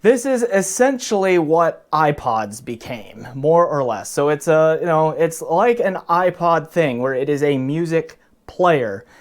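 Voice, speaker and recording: A man presents a product review as a channel host, talking straight to viewers. His delivery is casual and conversational, explaining things loosely as he goes. His voice sits at an ordinary middle pitch for a man. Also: clean tone.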